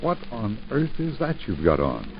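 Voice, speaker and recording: A man's voice: fairly low pitch, wildly swooping pitch, moderate pace, creaky, over music, full character voice. An actor playing a fond husband and father who hesitates and stammers in a 1940s radio drama. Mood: sheepish. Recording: dull 1940s radio broadcast with faint hiss.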